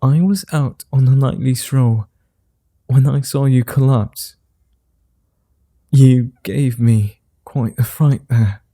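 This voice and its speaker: Man acting a dark, breathy, suave vampire. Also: medium pitch, no room sound, clean studio sound. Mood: gentle, teasing. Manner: courtly formal wording, unhurried pauses, teasing. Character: suave, flirty, gentle male vampire. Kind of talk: ASMR roleplay, softly acted monologue.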